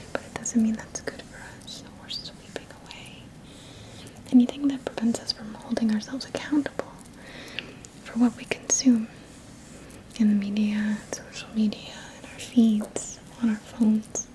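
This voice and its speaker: A woman whispering breathily in a soothing ASMR style as a Reiki teacher guiding an energy-healing session, often repeating soft phrases. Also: fairly high pitch, flat delivery, poor audio. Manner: slow, soothing, repeats words and phrases in a trailing murmur.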